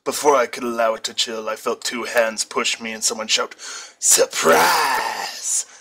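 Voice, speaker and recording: A man's voice: mid-range voice, little pitch movement, brisk, pushed and bright, breathy edge, low-quality recording. A man reading fan fiction aloud as an amateur, now and then stumbling over words and correcting himself. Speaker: amateur story reader.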